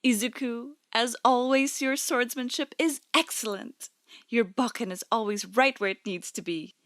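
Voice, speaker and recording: A woman's voice: fairly high pitch, normal speed, a bit bright, no echo, studio quality, close to an everyday voice. Woman playing a teasing, doting kendo-teacher mom. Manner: teasing, affectionate. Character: playful doting mother and kendo instructor. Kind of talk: fan audio drama acting.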